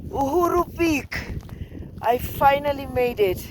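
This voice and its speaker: A hoarse woman narrating her mountain climb in a slow, breathless, casual way, filming outdoors on a handheld camera. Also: sits high, expressive, darkish tone, slight background noise, poor audio. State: hoarse, lost voice. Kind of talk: casual travel vlog narration.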